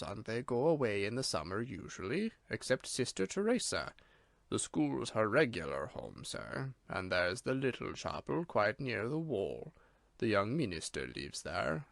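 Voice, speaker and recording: A solemn, deferential manservant with a slight Irish lilt, as a man reads him in a storybook. He speaks quietly and gravely, always formal. The recording is dry and close. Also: lowish voice, no echo, full character voice.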